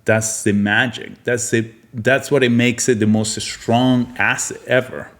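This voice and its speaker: A man's voice: mid-range voice, fairly even intonation, a little slow, a bit bright. Blunt, emphatic man. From Argentina. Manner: blunt, emphatic, repetitive, swearing. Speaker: podcast host, physician. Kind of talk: unscripted opinionated monologue.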